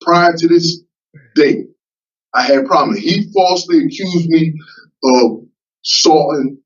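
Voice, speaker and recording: A man in his fifties at a parole hearing, speaking haltingly with many fillers and repeats. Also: a little high, slightly rough. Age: 50s. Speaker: parole applicant. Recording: muffled distant remote-call audio.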